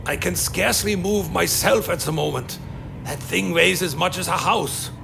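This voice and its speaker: A brooding undead vampire played by a man chronicles his dark tale in a solemn, literary way. His voice is fairly high for a male and heavily creaky, with music playing behind it. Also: normal speed. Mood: weary, pained. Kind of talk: first-person gothic radio play narration.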